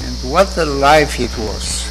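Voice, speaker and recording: An older man with a Romanian accent giving a measured, earnest eulogy from a lectern in a synagogue sanctuary. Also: medium pitch, expressive. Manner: slow, measured, earnest delivery. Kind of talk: memorial eulogy. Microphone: lectern microphone. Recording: reverberant hall with hum.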